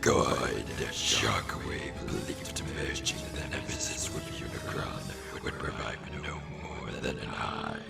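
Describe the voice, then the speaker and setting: A menacing robot warlord with an abyssal, heavily creaking voice. He commands his fleet like a cold zealot in a comic dub. He takes his time over each order. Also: very deep voice, takes it slowly, hardly any pauses, heavily acted. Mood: cold menace. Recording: loud music over the voice. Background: loud music.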